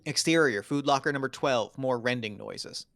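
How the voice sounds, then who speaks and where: A man's voice: medium pitch, moderate pace, fairly bright tone, dry recording, clean studio sound. A man narrating stage directions at a script table read in terse, clipped, dramatic phrases.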